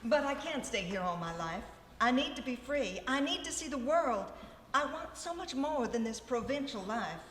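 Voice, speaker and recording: A woman's voice: sits high, lively intonation, moderate pace, fairly bright tone. A woman playing a dreamy, earnest young farm daughter in a comic radio play, gushing and melodramatic. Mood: earnest, yearning. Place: live on stage in a ballroom. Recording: echoey live hall, faint background noise.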